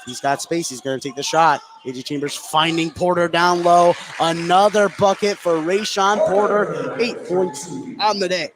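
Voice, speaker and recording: A man's voice: sits high, steady tone, normal speed, hardly any pauses. A man calling live basketball play-by-play for a radio broadcast from a gym. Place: indoor high school basketball gym, courtside. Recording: live gym broadcast, loud background noise. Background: loud gym ambience.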